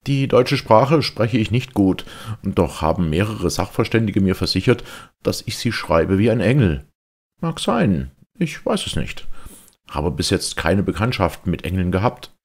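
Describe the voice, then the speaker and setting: A man playing an ironic humorist orator, delivering a theatrical, mock-earnest formal speech with dramatic emphasis in a husky, gravelly voice. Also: sits low, big pitch swings, a little slow, heavily acted. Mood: wry, musing. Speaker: reader. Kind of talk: theatrical literary speech reading.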